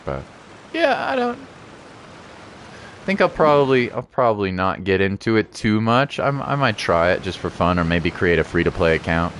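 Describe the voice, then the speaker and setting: A man's voice: sits low, a bit bright, slight background noise. A man chatting casually as a game streamer, with an easygoing, rambling and playful way of talking. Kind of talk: casual livestream chat.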